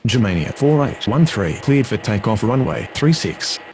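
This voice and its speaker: A man's voice: on the low side, sing-song, moderate pace, a little mellow. A man playing a businesslike air traffic controller, giving formal, clipped scripted instructions. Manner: formal, procedural. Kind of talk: clipped scripted radio phraseology.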